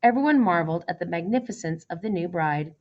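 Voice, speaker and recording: A woman's voice: lowish voice, lively intonation, fast, lo-fi sound. A woman reading a children's story aloud as its narrator. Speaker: storybook reader.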